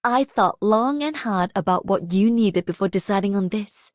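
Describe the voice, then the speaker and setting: A woman's voice: medium pitch, animated, moderate pace, dry recording, studio quality, lightly acted. Woman as a studious, encouraging academy lady. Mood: kindly, encouraging. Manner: earnest, encouraging. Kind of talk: video game voice line.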